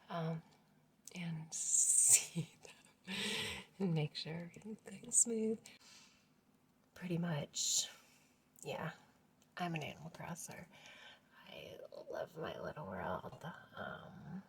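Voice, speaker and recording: A woman in her forties vlogging casually at home, speaking in a hushed, half-whispered voice and rambling as she loses her words and laughs. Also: on the low side, slight background noise. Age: 40s. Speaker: family vlogger. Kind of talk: casual rambling vlog chat.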